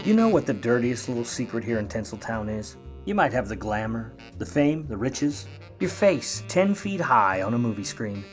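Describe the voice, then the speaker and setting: A man's voice: mid-range voice, sing-song, moderate pace, soft background music, close to an everyday voice. Man playing a world-weary, wisecracking noir private eye. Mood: wry narration. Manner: wry, sardonic, hard-boiled. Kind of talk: noir radio play narration and dialogue.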